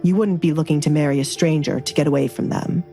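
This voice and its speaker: A woman's voice: low-pitched, steady tone, quick pace, mellow, faint music behind, pitched below the performer's own voice. A woman voicing a dangerous nobleman duke in an audio romance, formal, commanding and dryly teasing. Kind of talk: dramatized audio romance dialogue.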